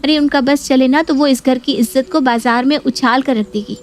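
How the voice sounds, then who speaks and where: A woman's voice: high-pitched, fairly even intonation, moderate pace, slight background noise, voice pushed up, natural voice. A woman voicing a scheming young married daughter who accuses and complains. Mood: indignant. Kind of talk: Hindi audio story acting.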